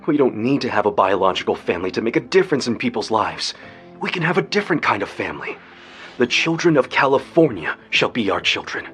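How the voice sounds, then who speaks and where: An actor playing a confident, visionary male tycoon in a radio drama, speaking in a grand, expressive way. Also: mid-range voice, fast talker, faint music behind. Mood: tender.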